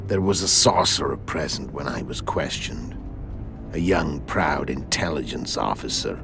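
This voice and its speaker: Game villain: hulking, unrepentant assassin, rumbling bass with heavy gravel, calm and dryly ironic, music underneath. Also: male voice, full character voice. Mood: matter-of-fact, confiding. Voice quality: very deep, gravelly. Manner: measured, matter-of-fact storytelling with dry irony. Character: hulking, world-weary male assassin, calm, cunning and unrepentant. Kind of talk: video game cutscene acting. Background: background music.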